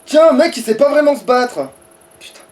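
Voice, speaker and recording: High-pitched man swearing through gameplay. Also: animated, moderate pace. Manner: swearing, taunting at game enemies. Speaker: let's player. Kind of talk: casual gameplay chat.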